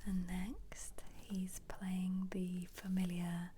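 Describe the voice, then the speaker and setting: A woman speaks in a slow, breathy whisper, soft and mellow, as an ASMR host. She muses playfully to herself and her listeners. A faint hiss sits under the close-miked voice. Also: on the low side, completely flat delivery. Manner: playful, musing aloud. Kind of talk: soft-spoken whispered ASMR book flip-through.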